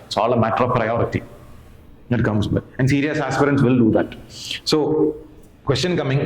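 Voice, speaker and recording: A middle-aged Indian-accented man giving an informal exam-analysis lecture as a history teacher, emphatically repeating phrases and asking questions he answers himself. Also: medium pitch. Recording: roomy echo, clear background noise.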